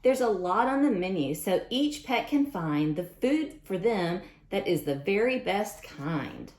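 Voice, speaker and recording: A woman's voice: medium pitch, lively intonation, unhurried, darkish tone. A woman reading aloud at storytime for young children in a sing-song manner, often asking questions and repeating lines. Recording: roomy, echoing sound.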